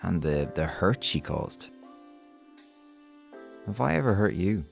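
A man's voice: low-pitched, big pitch swings, slow, gravelly, soft background music, heavily acted. An actor playing a naive, earnest everyman in an audio drama, speaking in a hesitant, questioning, natural way. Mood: doubtful, curious.

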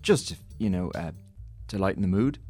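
A man's voice: deep voice, animated, normal speed, a little mellow, soft background music. An actor playing a naive, earnest everyman in an audio drama, speaking in a hesitant, questioning, natural way. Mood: nervous, earnest.

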